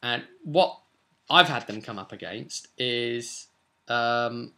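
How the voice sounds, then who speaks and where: Man, twenties, mid-pitched voice, casual vlog style, unscripted, conversational, rambling. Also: slow, sharp tone. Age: 20s. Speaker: tabletop role-playing vlogger. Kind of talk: casual unscripted game session recap. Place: small furnished room at home.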